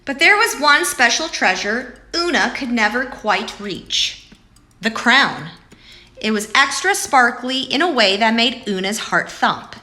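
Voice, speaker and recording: A woman hosting story time for young children, talking and reading aloud in an animated way, addressing them as friends. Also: on the high side, measured pace. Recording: roomy echo, faint music.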